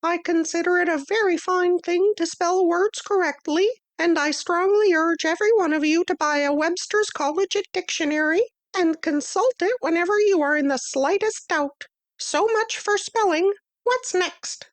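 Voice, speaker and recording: A woman voicing a tiny, pompous male mouse who acts as a brisk substitute teacher, lecturing in a crisp, bossy way. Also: extremely high pitch, voice pushed up. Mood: curt. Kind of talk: storybook reading.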